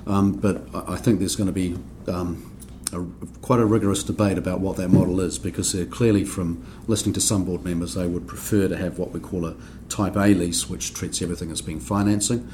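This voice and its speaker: A man with a New Zealand accent and a deep, low voice talks through technical points at a steady, explanatory pace on a podcast. He hesitates often with ums and restarts. Faint hiss sits under the voice. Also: fairly quick. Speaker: accounting standards board member. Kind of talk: podcast discussion.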